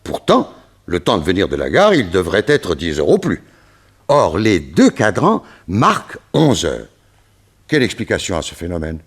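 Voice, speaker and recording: Troubled gentleman, lowish husky voice, hugely varied intonation, formal, theatrical, radio drama. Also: huge pitch swings, normal speed, exaggerated voice. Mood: puzzled. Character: grave, troubled gentleman recounting a strange mishap. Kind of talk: detective radio play acting.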